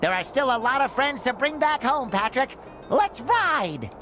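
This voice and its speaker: A man's voice: very animated, a little slow, sharp tone, faint music behind, exaggerated voice. A man voicing a cheerful, naive, childlike sea sponge in a cartoon game, nasal and eager. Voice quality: nasal, squeaky. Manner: eager, chirpy.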